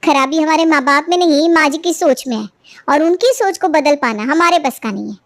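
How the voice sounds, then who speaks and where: A woman performs a sensible young wife, the elder sister-in-law of a cartoon tale. Her high voice is matter-of-fact and placating, recorded very dry. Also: fairly quick, no echo. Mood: resigned. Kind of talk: cartoon family moral story dialogue.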